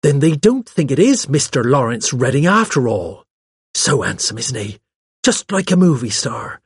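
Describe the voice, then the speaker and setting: A man's voice: medium pitch, big pitch swings, moderate pace, husky, no room sound, clean studio sound, voice pushed up, heavily acted. A man voicing a chatty, arch young woman with a gushing, pert, colloquial way of talking, as in an audiobook. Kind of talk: audiobook character voice.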